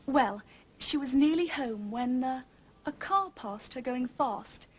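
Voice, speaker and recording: A well-bred, chatty English girl in a period detective drama. Her high upper-class voice is confident and matter-of-fact, with jolly old-fashioned manners. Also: slow pace, a little hiss or hum. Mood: earnest storytelling.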